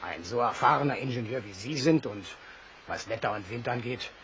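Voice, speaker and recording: An actor playing a brash, warm-hearted sailor in a German audio drama, speaking bluntly and colloquially. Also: male voice, medium pitch, a little fast, natural voice. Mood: confident, hearty. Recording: dull, hissy old recording.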